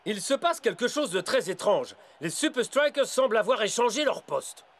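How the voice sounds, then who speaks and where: A man playing an excitable, theatrical, easily flustered football commentator in a French cartoon dub. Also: very high-pitched, normal speed, exaggerated voice. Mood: baffled. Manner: exclamatory, flustered. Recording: loud music behind commentary.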